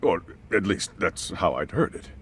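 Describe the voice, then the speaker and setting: A man's voice: sits low, sing-song, moderate pace, a bit bright, slight background noise. A man playing a polite, anxious school chairman in a video game cutscene, formal and hesitant. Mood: reluctant.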